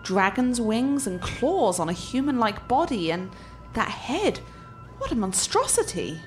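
A woman plays a troubled, genteel horror heroine for a radio play. Her delivery is theatrical and formal, with wide swoops in pitch over faint music. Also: big pitch swings, unhurried. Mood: fascinated. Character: intense, troubled genteel girlfriend haunted by nightmares. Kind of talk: dramatic radio play acting.

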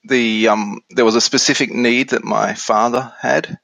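An Australian man in his forties explaining things casually as an interview guest on software. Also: mid-range voice, fairly even intonation, unhurried, gravelly, no echo, low-quality recording. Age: 40s.

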